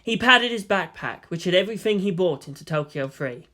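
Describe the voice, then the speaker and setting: A man's voice: high-pitched, animated, a little fast, bright, forceful tone. A man reading fiction aloud as narrator, in a dramatic scripted style. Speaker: story narrator.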